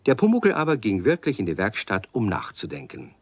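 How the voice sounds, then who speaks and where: A man narrating a children's radio play in a measured storytelling manner. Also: fairly low pitch, huge pitch swings, no room sound, full character voice.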